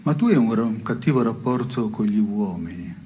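A man's voice: fairly low pitch, expressive, fairly quick, mellow, a little hiss or hum, natural voice. Wry, provocative man, played by an actor. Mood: wry, provoking. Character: provocative, ironic man musing in an absurdist dialogue. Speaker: stage actor. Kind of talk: theatrical dialogue in a radio play.

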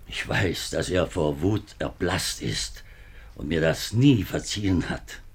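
An actor playing an upright, embattled middle-aged government minister in a 1950s German radio drama. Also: male voice, low-pitched, expressive, takes it slowly, husky, full character voice. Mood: earnest, indignant. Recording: 1950s radio play recording.

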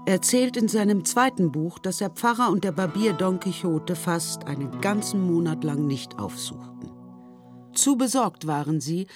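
A man reading scripted literary narration as a storyteller in a radio play. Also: high voice. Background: music.

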